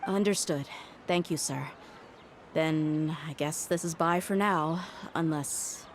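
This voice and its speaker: A woman's voice: deep voice, slight background noise. A woman voicing a confident, tough heroine in a video game, assured and wry. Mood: courteous. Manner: assured, wry, unhurried threats. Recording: game music behind the voice.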